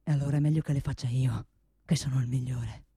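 A blustering factory boss, played by a woman in a comic theatre monologue, pitched low and recorded dry. He is pompous and self-justifying, and hammers the same phrases over and over. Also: steady tone, quick pace, dark tone, breathy edge, dry recording, exaggerated voice. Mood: muttering, obsessive. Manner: pompous, repeats himself obsessively.

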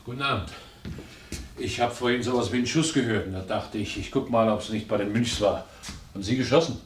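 A man's voice: lowish voice, fairly quick, slight background noise, lightly acted. An actor playing a chatty, nosy male neighbour, hesitant and gossipy, in a radio crime drama. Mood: curious, uneasy. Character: chatty, nosy male neighbour and estate steward, town councillor.

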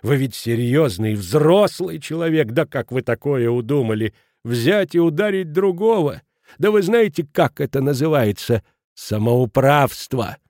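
A man's voice: sits low, big pitch swings, very slow, darkish tone, slightly rough, no room sound, clean studio sound, pitched below the performer's own voice, full character voice. A man voicing an outraged, preachy school headmaster in an audiobook, scolding with indignant emphasis. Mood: shocked reproach.

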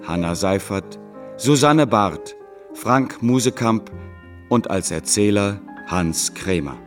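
A man reading scripted credits as the announcer of a radio drama. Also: fairly low pitch.

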